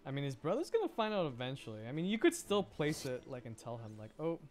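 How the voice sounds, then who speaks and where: A man's voice: a little high, wildly swooping pitch, fast talker, darkish tone, faint music behind. A man streaming a video game, giving casual, amused commentary and exclaiming at what he sees.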